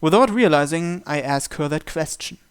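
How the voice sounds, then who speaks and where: A man's voice: fairly high pitch, expressive, moderate pace, smooth. A man narrating a visual novel in the first person, reading from the script.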